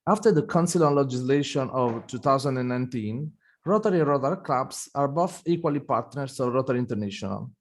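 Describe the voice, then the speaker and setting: A man's voice: medium pitch, expressive, fast. Man hosting an online meeting. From Italy. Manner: reads prepared remarks, occasional restarts. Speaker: event host. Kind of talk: formal welcome speech.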